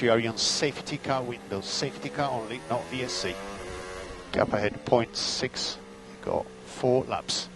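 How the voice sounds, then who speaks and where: A man's voice: medium pitch, noisy background. A man working as a race engineer, giving terse, clipped instructions and data over motor racing team radio. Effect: team radio transmission.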